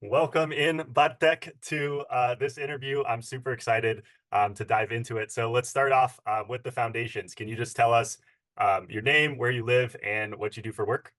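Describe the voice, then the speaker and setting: A man's voice: mid-range voice, steady tone, normal speed, fairly bright tone. Man, interview host, fitness coach, upbeat, encouraging, casual.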